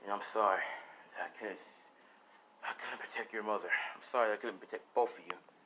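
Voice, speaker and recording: Here is a dying father from an amateur anime story, played by a male storyteller. He is weary and gentle, speaking low and quietly in halting phrases to his son. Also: slight background noise, poor audio. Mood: weary, sorrowful. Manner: hushed, halting delivery.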